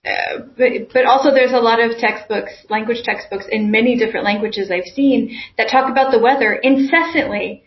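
A woman talking about language learning in a thoughtful, halting way, often repeating words. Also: on the high side. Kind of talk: casual conversation. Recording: dull lo-fi audio.

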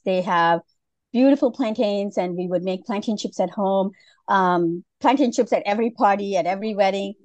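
A middle-aged woman from Malaysia talks as a podcast guest, in an easy, unhurried way. She pauses often with fillers and restarts her sentences. Her voice sits at an ordinary pitch and is recorded dry and close. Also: warm tone, no room sound, highs cut off. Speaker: guest, food business founder. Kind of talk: casual interview.

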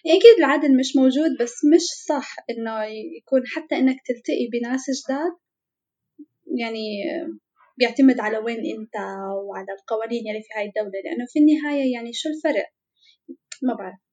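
A woman's voice: fairly high pitch, fast talker, mellow, lo-fi sound. A woman with a Jordanian Arabic accent, co-hosting a podcast in talkative, encouraging casual chat. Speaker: podcast co-host.

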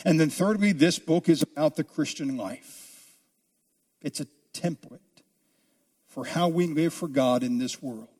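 A man preaching an expository sermon as a pastor, speaking deliberately with drawn-out, emphatic phrases. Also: fairly high pitch, a little mellow. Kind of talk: expository sermon, teaching a Bible book.

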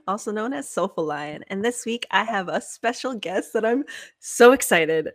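A woman's voice: mid-range voice, a bit bright, dry recording, studio quality. Effusive woman podcast host. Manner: gushing, effusive, swears casually. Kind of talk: scripted intro then casual chat.

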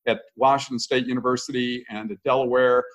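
A man's voice: medium pitch, little pitch movement, brisk. A man past sixty speaks as a podcast guest, candid and a little self-deprecating. He tells his stories in loose, conversational runs, often restarting his sentences mid-thought. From United States. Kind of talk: casual conversational interview.

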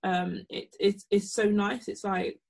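A young woman with a croaky voice co-hosting a podcast, talking casually with many pauses. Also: mid-range voice. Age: young adult. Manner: relaxed, hesitant, many ums. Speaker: podcast co-host. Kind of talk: casual chat.

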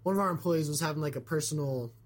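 A man hosting a podcast in casual chat, his voice scratchy and a bit hoarse from being sick, talking in a rambling way with many fillers and restarts. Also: on the high side, fast. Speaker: podcast host.

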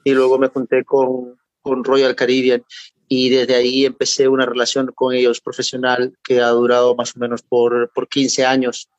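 A middle-aged man from Peru with a Peruvian accent, a guest talking in a halting way, repeating words and adding tag questions. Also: medium pitch, monotone, no room sound, highs cut off. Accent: Peruvian Spanish. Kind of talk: interview.

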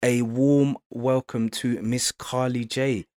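A man's voice: mid-range voice, little pitch movement, slow pace, darkish tone. A man hosting a podcast, giving introductions and putting questions to a guest in a conversational way. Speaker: podcast co-host and interviewer. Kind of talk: scripted podcast introduction, then conversational interviewing.

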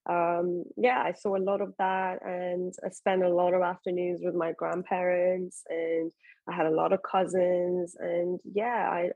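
A woman's voice: fairly low pitch, a little mellow, dry recording, rough recording. A young woman from Iran, a podcast guest, chatting casually with many fillers and restarts. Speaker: guest, bikini competitor. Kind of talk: casual interview talk.

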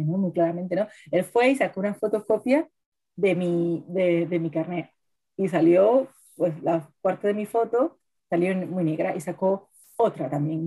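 A woman's voice: on the low side, mellow, breathy edge. A woman co-hosting a podcast, telling stories in a chatty way and often tagging on "¿no?". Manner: chatty, keeps adding "¿no?". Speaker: podcast co-host. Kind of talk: casual chat over wine.

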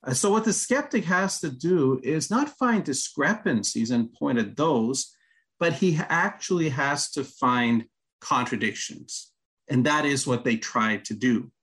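A man works through his points slowly and step by step, like a patient lecturer. He is the director of a ministry, talking on a podcast. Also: mid-range voice, expressive, a bit bright. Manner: methodical, lecturing with examples and filler "uh". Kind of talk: scripted-feeling podcast discussion, explaining scripture.

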